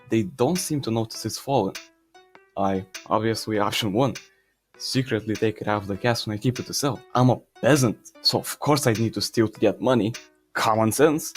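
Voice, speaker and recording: A brash, self-serving peasant, played by a man, talks in blunt slang with casual cockiness. Soft music plays behind the voice. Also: mid-range voice, close to an everyday voice. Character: brash, selfish peasant man dreaming of ruling. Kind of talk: in-character answers to read-out questions.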